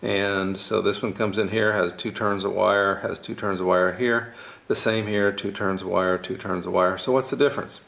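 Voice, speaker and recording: Male DIY presenter, lowish voice with heavy fry, informal step-by-step explainer. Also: fairly even intonation, normal speed, fairly bright tone. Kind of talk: casual hands-on tutorial explanation.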